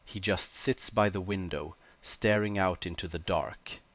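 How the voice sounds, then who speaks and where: A man delivers scripted first-person horror narration in deliberate short phrases with dramatic pauses. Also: low voice, steady tone, fairly bright tone, dry recording, dull audio.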